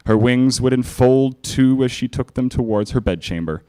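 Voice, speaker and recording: A man's voice: mid-range voice, normal speed, warm tone. Amused man reading fanfiction aloud. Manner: amused, stumbling over the text. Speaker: fanfiction reader, panel host. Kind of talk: live comedic dramatic reading. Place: convention panel room.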